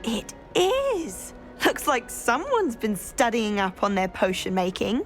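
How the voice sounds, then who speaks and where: A woman's voice: on the high side, wildly swooping pitch, slow, faint music behind, exaggerated voice. A woman with a British accent playing an earnest, chatty teenage schoolgirl in a video game. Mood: eager, friendly. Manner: eager, chatty, friendly. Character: earnest, plant-loving teenage schoolgirl named Samantha. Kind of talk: video game dialogue acting.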